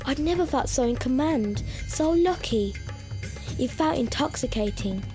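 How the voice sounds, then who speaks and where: A woman reads a novel passage aloud in a measured, tense way. Her voice sits fairly high, with faint music underneath. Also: lively intonation, dark tone.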